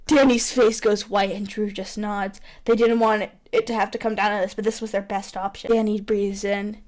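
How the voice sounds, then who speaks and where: A woman reading fan fiction aloud in a casual, teasing, animated way on a rough microphone, bantering as she goes. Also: normal speed. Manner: animated, teasing, breaks into laughter. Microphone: poor-quality microphone. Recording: rough low-quality microphone.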